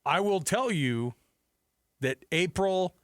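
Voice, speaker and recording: A man's voice: high-pitched, lively intonation, takes it slowly, no echo, clean studio sound. A man hosting a sports radio show, arguing his points emphatically in casual, bantering debate. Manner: argumentative, emphatic.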